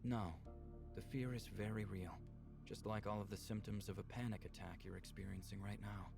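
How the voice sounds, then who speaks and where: A man voicing a gentle, earnest alien man from a visual novel, measured and explanatory in manner. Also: steady tone, music in the background. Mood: calm, reassuring. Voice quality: low, gravelly.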